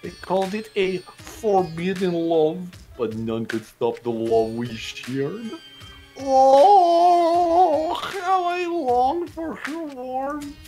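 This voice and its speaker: A man voicing a creepy, lovesick young man with a theatrical, drawn-out delivery in a game fan dub. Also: extremely high pitch, sing-song, mellow, soft background music, pitched above the performer's own voice, exaggerated voice. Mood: rapturous longing. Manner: slow, dramatic, drawn-out delivery.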